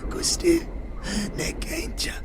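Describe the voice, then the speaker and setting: A woman's voice: slow, lightly acted. A woman playing a frail, sick githzerai woman in an audio drama, crying out in pain. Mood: anguished. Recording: clearly noisy background.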